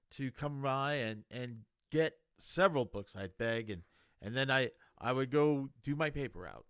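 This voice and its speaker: A man reading a novel aloud in a meandering, halting, conversational way, like an amateur audiobook reader. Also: medium pitch, fairly bright tone, clear, resonant tone, no echo, studio quality. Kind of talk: casual chat, then reading a novel aloud.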